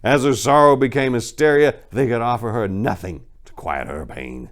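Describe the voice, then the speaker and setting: A man's voice: medium pitch, moderate pace. A man reading fiction dramatically and talking to listeners in an emphatic, colloquial, folksy way. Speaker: author reading their own crime fiction, show host. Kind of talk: dramatic story reading and informal commentary to listeners.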